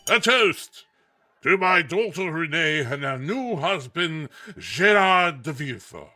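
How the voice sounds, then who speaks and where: An actor playing a jovial, pompous middle-aged aristocrat in a period audio drama, with a declamatory manner. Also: male voice, fairly high pitch, sing-song, slow, sharp tone, a faint crowd, slight background noise, heavily acted. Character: pompous, jovial aristocratic father, French royalist marquis. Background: music.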